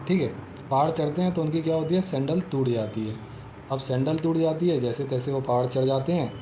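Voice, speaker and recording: A man's voice: medium pitch, noisy background. A man teaching exam memory tricks in a casual, repetitive explanatory style, often checking in with the listener. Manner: repetitive, peppers talk with "theek hai" and "hai na"; storytelling, explains step by step and repeats.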